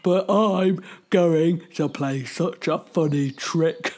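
A woman's voice: low voice, takes it slowly, darkish tone, pitched below the performer's own voice. A woman voicing a cheeky, scheming big bad wolf who chuckles as he plots. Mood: sly.

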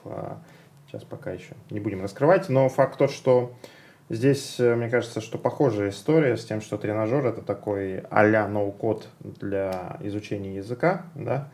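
A man's voice: lowish voice, normal speed, creaky, darkish tone. A man from Russia, a podcast guest, talking in a casual, thoughtful way with many hesitations and fillers. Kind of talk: casual conversation.

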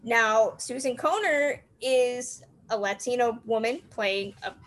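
A woman hosting a casual film discussion, talking in an animated way with wry asides. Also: on the high side, slow pace. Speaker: host of a film discussion podcast.

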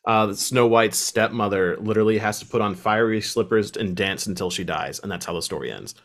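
A man's voice: lowish voice, brisk, a bit bright. A man chatting casually as a podcast host, explaining things in an informal, conversational way. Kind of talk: casual chat.

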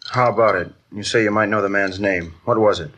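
An actor playing a businesslike police detective in a 1950s radio drama, asking clipped, matter-of-fact questions. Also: male voice, fairly low pitch, fairly even intonation, brisk, natural voice. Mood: probing. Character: brisk, businesslike male police detective partner.

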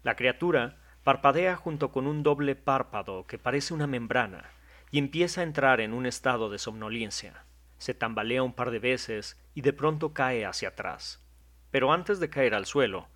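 A man narrating an audio drama in a measured, descriptive way. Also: medium pitch, fairly bright tone.

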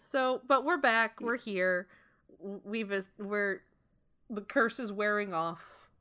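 Co-host: young woman, unhurried delivery, bright tone, giggly and playful. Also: medium pitch, smooth. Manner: laughs often, playful quips. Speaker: podcast co-host. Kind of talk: casual podcast chat.

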